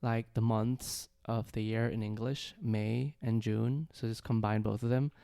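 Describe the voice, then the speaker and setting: A man co-hosting a casual podcast chat, answering in an easygoing, measured way and laughing often. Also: lowish voice, steady tone, vocal fry, mellow, no room sound, studio quality. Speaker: podcast co-host.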